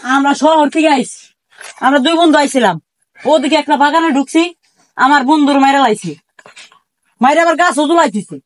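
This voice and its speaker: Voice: sits high, normal speed, pauses often, close to an everyday voice. A performer playing a frightened young visitor from the city in an amateur comedy horror skit, speaking in an earnest, pleading way. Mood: distressed. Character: frightened young city visitor seeking to avenge his friend.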